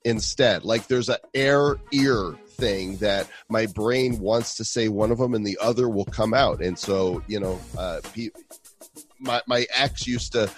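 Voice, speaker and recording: Jokey podcast host with a nasal voice. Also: male voice, fairly low pitch, soft background music. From Illinois, Chicago area. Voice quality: slightly nasal. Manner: playful, jokey, quick asides. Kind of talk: casual podcast chat and read ad.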